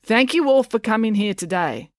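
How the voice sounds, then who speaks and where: A woman's voice: a little high, lively intonation, moderate pace, dry recording, studio quality, pitched above the performer's own voice, close to an everyday voice. Woman playing a flustered, stiff male small-town mayor. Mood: stiff, formal. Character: fumbling, flustered male acting mayor reading a speech. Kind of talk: storybook character voice.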